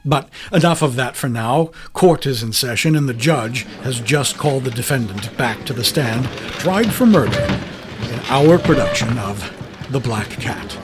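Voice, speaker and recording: A man hosting radio theater, giving a scripted introduction in theatrical, measured storyteller phrasing. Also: mid-range voice, animated, over music. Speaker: host, star and producer.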